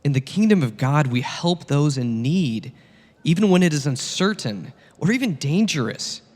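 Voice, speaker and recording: A man playing a patient, earnest teacher of parables in a satirical dramatic reading, solemn and deliberate, with long echo around the voice. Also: a little high, sing-song, a little mellow. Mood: earnest, insistent. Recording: long reverberant hall echo.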